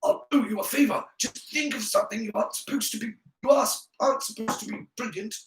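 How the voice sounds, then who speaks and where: A scornful schoolboy from a storybook acted out by a male narrator, drawling and sneering. The voice sits high and swings about, in an echoey room. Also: expressive, normal speed, a bit bright. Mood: urgent, hushed. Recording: roomy, echoing room sound.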